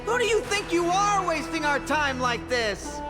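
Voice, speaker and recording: Brief: impatient crowd heckler, very high and scornful, loud score behind. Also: female voice, animated, a little slow, loud music behind the voice. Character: impatient, sharp-tongued woman in a crowd. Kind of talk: video game voice acting.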